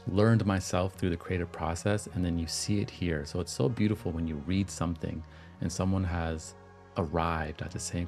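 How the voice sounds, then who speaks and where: A slightly hoarse man hosting a livestream, chatting in a relaxed, reflective, slangy way over soft background music. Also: low-pitched. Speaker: podcast and livestream host. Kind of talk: casual livestream chat.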